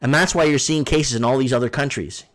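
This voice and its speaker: A man's voice: mid-range voice, quick pace, a bit bright. A man hosting a casual live call-in stream, rambling and repeating himself as he addresses his viewers. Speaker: livestream host.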